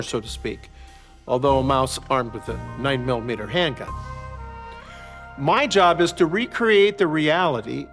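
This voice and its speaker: An older American man giving reflective, explanatory commentary as an author and host, measured and articulate, with parenthetical asides. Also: a little high, a bit bright. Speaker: author and series host discussing his novels. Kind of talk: reflective explanatory commentary, semi-scripted narration.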